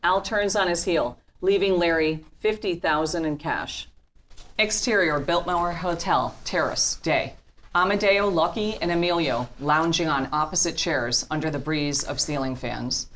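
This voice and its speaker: Female narrator for a script reading, deep voice, delivering scene directions. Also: a little slow, a bit bright. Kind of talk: scripted narration at a table read.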